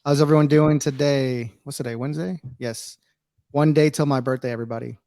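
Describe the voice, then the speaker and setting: A man's voice: medium pitch, fairly even intonation, fairly quick, warm tone, no echo, clean studio sound. A man in his forties co-hosting a casual livestream chat, upbeat and rambling, laughing often. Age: 40s. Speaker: livestream co-host.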